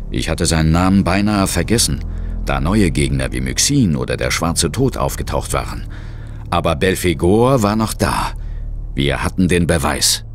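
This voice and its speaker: An actor playing a steady, reassuring ghost hunter in a horror audio drama. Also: male voice, extremely low pitch, moderate pace, a little hiss or hum. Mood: grave. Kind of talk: German horror audio drama, dialogue and first-person narration.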